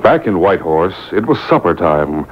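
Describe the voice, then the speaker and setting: An actor as the dramatic storyteller of an old-time radio serial, reading scripted narration. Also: male voice, big pitch swings, moderate pace. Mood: scene-setting. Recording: muffled, noisy boombox radio recording.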